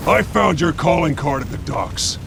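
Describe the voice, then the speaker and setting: Casting: grim masked game vigilante, gravelly and animated, terse commands, noisy backdrop. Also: male voice, a little high, normal speed. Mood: demanding. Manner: terse, menacing. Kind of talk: video game voice acting.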